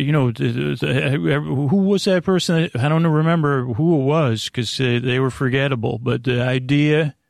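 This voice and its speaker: A man hosting a bedtime podcast, rambling in an improvised, halting way with stammered, repeated word starts and a gravelly, creaky voice. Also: mid-range voice, dry recording, clean studio sound. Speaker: podcast host.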